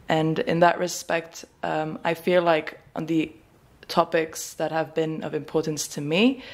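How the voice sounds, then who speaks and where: A woman answering interview questions in a rambling, thinking-aloud way with many fillers. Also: sits low. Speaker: graduate student guest.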